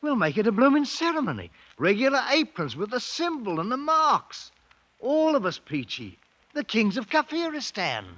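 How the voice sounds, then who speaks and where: A man's voice: very high-pitched, huge pitch swings, normal speed, a bit bright, dull audio, slight background noise, heavily acted. A man in a 1950s radio drama playing a bold, ambitious British ex-soldier who makes himself king, commanding and boastful in colloquial speech. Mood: scheming, fervent.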